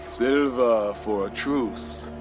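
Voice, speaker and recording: A man playing a proud, scornful Viking warlord in a video game, deliberate and disdainful. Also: medium pitch, over music. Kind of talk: cinematic game dialogue.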